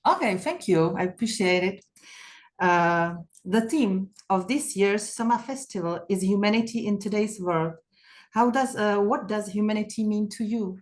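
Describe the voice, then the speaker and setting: Female festival host; polite, measured, reads from a script. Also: medium pitch. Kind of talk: scripted introduction and questions.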